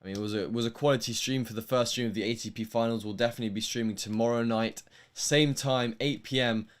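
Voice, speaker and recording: A man's voice: mid-range voice, fairly even intonation, fast talker. A young man from London with a posh English accent, hosting a tennis livestream and chatting casually, rambling with lots of ums and restarts. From England. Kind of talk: casual livestream chat answering viewer questions.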